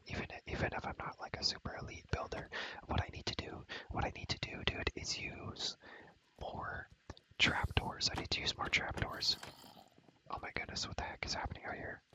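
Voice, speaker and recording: A man's voice: fairly quick, poor audio. Whispering young man, rambling ASMR. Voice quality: breathy, unvoiced whisper. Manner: rambling, repeats phrases over and over, slangy. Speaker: ASMR video creator, gamer. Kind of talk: whispered ASMR gameplay commentary.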